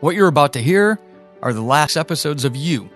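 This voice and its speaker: A man with a slightly high voice speaks as a podcast host. He delivers an introductory announcement straight to his listeners, with music behind him. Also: expressive, brisk, fairly bright tone.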